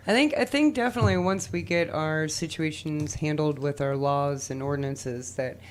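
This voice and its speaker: A woman with a low voice hosting a casual community radio show. She affirms her guest often and talks directly to her listeners in an easy, upbeat way. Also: normal speed, a little hiss or hum.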